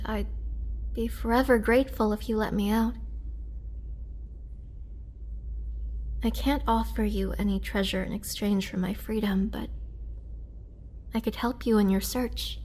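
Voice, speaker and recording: A woman playing a weakened, imprisoned vampire in a roleplay audio drama, gentle and polite, speaking hesitantly with soft, whispery breath and a pleading earnestness. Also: mid-range voice, fairly even intonation, normal speed, long pauses, natural voice. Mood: weary bargaining. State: weak, drained. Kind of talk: girlfriend roleplay audio drama, intimate acting.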